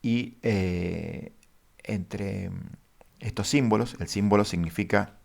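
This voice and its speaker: A man teaching a database lesson over a screen share, speaking haltingly with many fillers. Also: on the low side, gravelly, darkish tone. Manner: halting, many fillers, addresses viewers formally. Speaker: database instructor.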